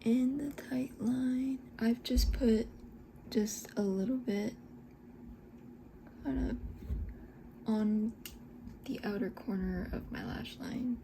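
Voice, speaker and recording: A woman in her twenties, born in Scotland, chatting softly in a breathy half-whisper while doing her makeup at home, unhurried and full of little fillers. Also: a little high, slight background noise, low-quality recording. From Scotland, raised in Texas. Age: 20s. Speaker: esthetician and beauty vlogger. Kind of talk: soft whispered get-ready-with-me chat.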